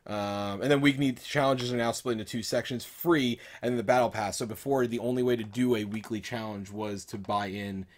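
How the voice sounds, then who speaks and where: A man's voice: medium pitch, fairly even intonation, brisk, few pauses. A man co-hosting a podcast, chatting in an informal, rambling way. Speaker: podcast co-host. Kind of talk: casual chat.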